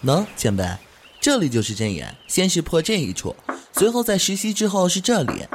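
A man's voice: sits high, sing-song, normal speed, slight background noise. An actor playing a cheerful, good-hearted young man who chats eagerly and laughs easily in an audio drama. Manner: bright, chatty, laughs easily.

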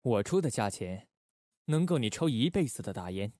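A man playing a controlled, calculating undercover agent in a radio drama, measured and guarded. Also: mid-range voice, sing-song, a little mellow, dry recording, clean studio sound, natural voice. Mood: persuasive, cool. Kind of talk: Chinese radio drama acting.

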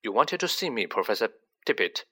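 A man's voice: fairly low pitch, big pitch swings, a little fast, sharp tone, husky, heavily acted. A man voicing a nervous, polite teenage orphan schoolboy with an earnest, hesitant manner in a storybook reading.

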